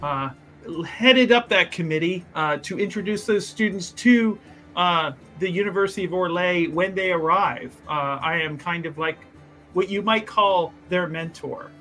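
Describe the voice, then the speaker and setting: A man playing his tabletop character with a high, bright voice. He boasts at length and stumbles through plenty of ums and uhs. Faint music runs underneath. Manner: halting, filler-heavy, boastful in character. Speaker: tabletop game player. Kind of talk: in-character roleplay.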